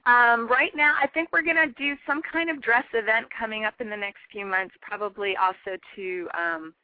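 A woman's voice: on the high side, normal speed, dry recording. A woman speaking casually as an interview guest who runs a shop. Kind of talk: casual chat. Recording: muffled radio-like audio, dry.

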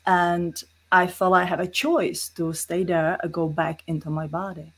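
A woman's voice: on the low side, steady tone, unhurried, a bit bright, breathy edge. A middle-aged woman with a Czech accent, earnestly explaining spiritual experiences as an interview guest in non-native English.

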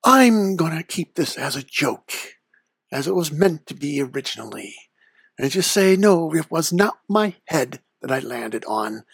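Actor as sly Lord of Darkness for comedy podcast. Unhurried, husky, pompous, very dry close sound. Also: medium pitch, big pitch swings, no echo, full character voice. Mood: wry. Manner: pompous, deliberate, theatrical. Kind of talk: mock interview in character, audio comedy.

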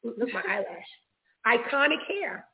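A woman's voice: a little high, big pitch swings, measured pace. A playful, exclamatory woman chatting casually as a guest in a word-association game. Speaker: guest being interviewed. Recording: narrow phone-like band.

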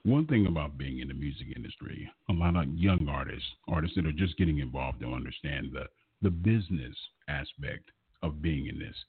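A man's voice: a bass voice, animated, brisk, a little hiss or hum. A man hosting a radio music interview in an effusive, complimentary manner. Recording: narrow phone-line sound.

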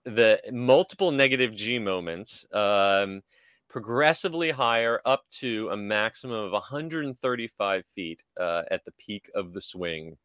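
A man co-hosting a podcast, going through theme park news informally, with a somewhat halting delivery. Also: on the low side, lively intonation, a bit bright, clear, resonant tone, no echo. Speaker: podcast co-host.

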